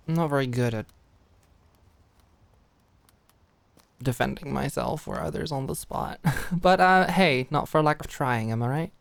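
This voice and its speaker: A woman acting a feisty, protective popular young woman at camp in an ASMR roleplay, talking in a casual, halting, emphatic way. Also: low voice, vocal fry. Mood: subdued, apologetic.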